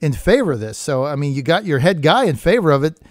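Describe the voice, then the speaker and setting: A man's voice: a little high, very animated, fast, dry recording, clean studio sound. A man hosting a political talk radio show, plain-spoken and opinionated, talking in short punchy sentences. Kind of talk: conversational political radio interview.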